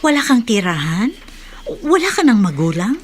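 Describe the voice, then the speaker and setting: A woman with a Filipino accent playing a concerned, motherly older woman in a radio drama, gently chiding. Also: fairly high pitch, normal speed, slight background noise. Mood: curious, concerned. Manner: questioning, gently chiding, expressive.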